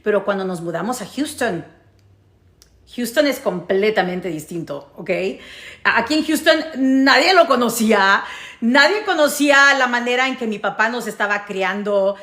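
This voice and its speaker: A woman with a fairly high voice and a Mexican Spanish accent shares a personal story as a casual vlog. She is emphatic, repeating key phrases and checking that listeners follow. The room around her is noticeably echoey. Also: expressive, moderate pace, pushed and bright. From United States, grew up in New Jersey. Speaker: storytelling vlogger.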